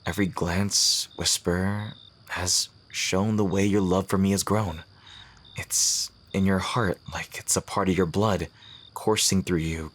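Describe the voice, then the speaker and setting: Man acting a husky, devoted fantasy lover. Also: low voice. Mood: adoring. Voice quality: husky, breathy. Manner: earnest, formal, poetic phrasing with soft hesitant pauses. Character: devoted male fantasy rival confessing love, tender and courtly. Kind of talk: romantic ASMR audio roleplay, intimate acting.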